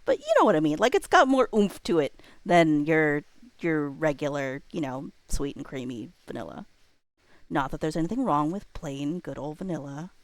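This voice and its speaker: A woman's voice: low voice, expressive, moderate pace, no room sound. A woman hosting a livestream, chatting casually and playfully with viewers in a rambling way. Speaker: livestream host. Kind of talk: casual chat with live viewers.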